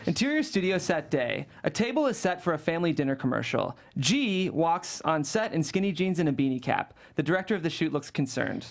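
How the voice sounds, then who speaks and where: A man's voice: on the high side, big pitch swings, brisk, creaky, hardly any pauses. A man reading scripted stage directions as the narrator of a table read.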